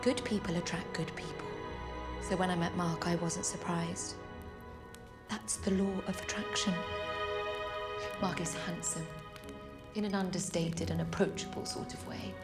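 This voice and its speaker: Film comedy lead: actress playing self-deceiving optimist woman, lower register, earnest and courteous, prominent music underneath. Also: steady tone, a little slow, long pauses, close to an everyday voice. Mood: self-assured cheer. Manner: earnest, relentlessly positive, polite. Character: overly upbeat, self-deceiving, people-pleasing woman named Helen. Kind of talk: scripted first-person narration and film dialogue. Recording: loud music behind.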